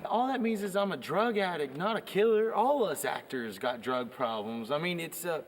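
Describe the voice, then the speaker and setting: A man's voice: a little high, animated, moderate pace. A man playing a defiant, whiny small-town Kentucky actor in a comic film, petulant and blustering. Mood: defensive.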